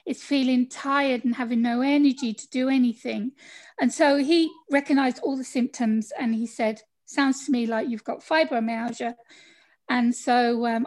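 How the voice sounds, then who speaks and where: British woman, high voice, chatty storyteller, interview guest, video call. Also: a little mellow. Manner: chatty, laughs often, acts out quoted people. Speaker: podcast guest.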